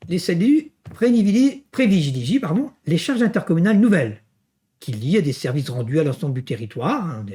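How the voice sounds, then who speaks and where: A male lecturer with a somewhat high voice and lively, swinging intonation. He explains his material step by step in a didactic way, punctuating it with small check-ins and questions that he answers himself. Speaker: university lecturer. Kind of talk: explanatory finance lecture walking through slides.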